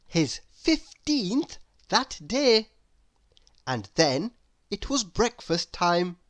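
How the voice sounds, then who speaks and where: A woman reading a children's story aloud in an expressive narrating style. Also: mid-range voice, very slow. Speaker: storybook reader.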